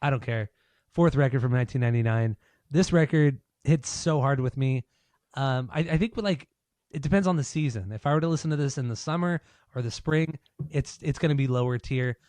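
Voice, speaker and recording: Brief: male podcast co-host, slightly hoarse, blunt and opinionated, swears freely, dry close mic. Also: medium pitch, fairly quick, darkish tone, dry recording. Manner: swears a lot, loose and opinionated. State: losing his voice. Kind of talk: casual podcast chat.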